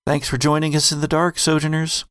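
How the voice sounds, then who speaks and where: A man's voice: a little high, completely flat delivery, fairly quick, fairly bright tone, smooth, no room sound, studio quality. A man hosting a podcast in casual round-table conversation. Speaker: podcast host.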